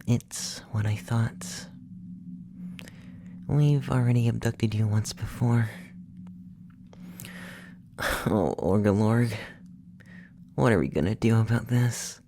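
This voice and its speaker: A soft-spoken alien abductor, acted by a man, curious and condescending, murmurs slowly in a close ASMR roleplay. The voice is gravelly and half-whispered, with musing pauses. Clear noisy ambience sits behind it. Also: mid-range voice. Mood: worried, exasperated.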